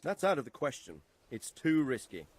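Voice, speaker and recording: An actor playing a cautious, protective nobleman in a medieval game, measured and authoritative. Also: mid-range voice, very animated, dry recording. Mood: concerned, commanding. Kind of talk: video game cutscene acting.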